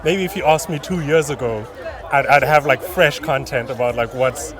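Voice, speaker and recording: A man answering a street interview in a thoughtful way. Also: mid-range voice. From South Africa. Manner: thoughtful, measured. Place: busy city street, outdoors. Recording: street crowd behind.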